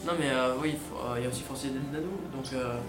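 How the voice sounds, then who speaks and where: A man's voice: medium pitch, steady tone, fast. A young man co-hosting a casual student radio chat, eager and rambling, full of fillers. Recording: echoey room, music behind.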